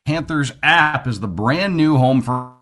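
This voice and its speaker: A man's voice: medium pitch, animated, unhurried, a bit bright. Man hosting a sports radio show. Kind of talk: upbeat sports radio talk.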